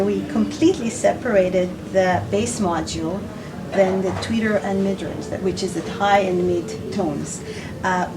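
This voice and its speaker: A woman giving unscripted promotional answers as a company representative, halting as she searches for words. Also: medium pitch, noisy background. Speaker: company representative, interview guest. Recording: slightly distant mic, music behind. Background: background music.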